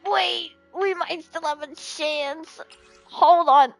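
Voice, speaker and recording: A woman chatting casually as she livestreams a game, with a playful, expressive way of talking that readily turns to pleading and exclaiming. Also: very high-pitched, slow, creaky, dry recording. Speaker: game streamer. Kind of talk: casual livestream chat over gameplay. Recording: music behind the voice. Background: music.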